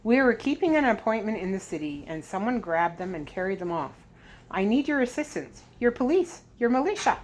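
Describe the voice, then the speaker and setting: A woman's voice: mid-range voice, sing-song, a little fast, close to an everyday voice. Woman playing a desperate, demanding American father. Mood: urgent. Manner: urgent, demanding. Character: desperate, forceful American father, a determined doctor. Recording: clear background noise.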